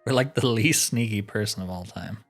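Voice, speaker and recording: A man's voice: lowish voice, a little fast, soft background music. A man giving casual commentary as he plays a roleplaying video game. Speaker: gaming video host. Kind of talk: casual roleplay gameplay commentary, reading dialogue aloud.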